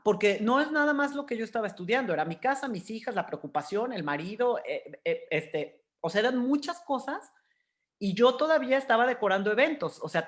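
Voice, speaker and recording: A woman in her fifties with a Mexican accent, telling personal stories candidly and expressively as an interview guest. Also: medium pitch. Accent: Mexican Spanish. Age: 50s. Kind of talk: candid personal storytelling in conversation.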